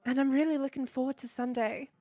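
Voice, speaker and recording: A woman's voice: sits high, steady tone, warm tone, no room sound, faint music behind. A woman voicing a shy, anxious teenage schoolgirl in a visual novel, speaking hesitantly. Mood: shy, earnest. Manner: hesitant, halting.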